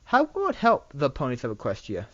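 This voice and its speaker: A wary elder-sister pony princess, as an amateur reader puts her on in a storybook reading. She questions things cautiously in a mid-pitched voice, recorded dry on a dull microphone. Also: wildly swooping pitch, fairly quick, fairly bright tone, no room sound.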